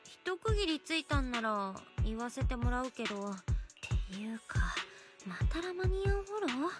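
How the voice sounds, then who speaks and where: A woman's voice: high voice, very animated, fast. An actress voicing a young, casual and outspoken female character in a video game. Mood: casual, questioning. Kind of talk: Japanese game voice acting. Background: game music.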